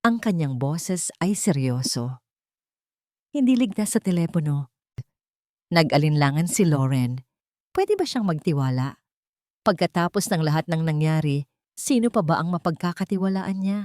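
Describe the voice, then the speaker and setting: A Filipino woman narrates a dramatic story in a fairly low voice. The sound is dry and close, with little room to it. Her delivery is that of a scripted audiobook drama. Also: expressive, normal speed, pauses often, darkish tone, dry recording.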